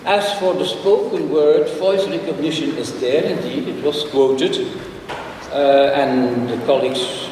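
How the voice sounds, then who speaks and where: An older man delivers a formal address in a large conference hall. He speaks in a measured, slightly halting way, with learned asides. The sound is far from the mic and washed in long reverb, with steady noise behind it. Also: fairly high pitch. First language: Dutch. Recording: echoey hall, picked up from afar, with noise.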